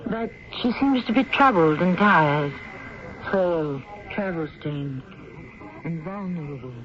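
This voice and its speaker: An American man hosting and narrating a radio mystery drama in a measured, theatrical, wry manner. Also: fairly high pitch, animated, a bit bright, slight background noise. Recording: muffled radio broadcast with music behind. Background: music.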